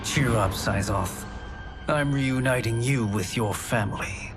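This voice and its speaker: An actor voicing a smug, sinister sorcerer in a video game, haughty and mocking with measured diction. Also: male voice, mid-range voice, over music, natural voice. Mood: gloating. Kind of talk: video game cinematic acting.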